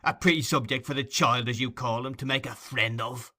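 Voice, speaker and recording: A man's voice: mid-range voice, fairly even intonation, brisk, sharp tone, lightly acted. A man voicing a brutish, bullying robber in a storybook, growling and contemptuous.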